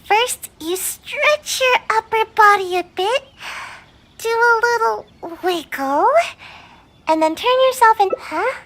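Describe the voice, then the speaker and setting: A woman's voice: extremely high pitch, animated, takes it slowly, fairly bright tone, heavily acted. A woman playing a small, drowsy young ninja trainee in a video game, childlike and earnest, a little lazy. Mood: casual. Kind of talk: video game character dialogue.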